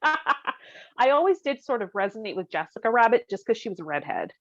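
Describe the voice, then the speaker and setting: A woman's voice: fairly high pitch, sing-song, brisk, dry recording, soft background music. Giggly woman podcast co-host, dull dry recording. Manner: giggly, self-deprecating. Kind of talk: casual comedic chat.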